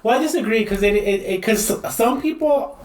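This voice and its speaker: Animated man in an echoey room. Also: sits high, moderate pace. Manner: animated, laughs often, acts out quotes. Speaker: podcast co-host. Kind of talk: casual chat.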